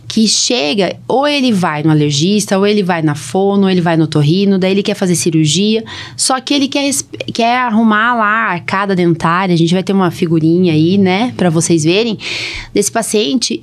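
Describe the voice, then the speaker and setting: A Brazilian woman hosting a podcast as a doctor, talking informally and often checking in with short tags. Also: mid-range voice. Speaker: podcast host, allergist doctor. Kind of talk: casual podcast introduction and interviewing.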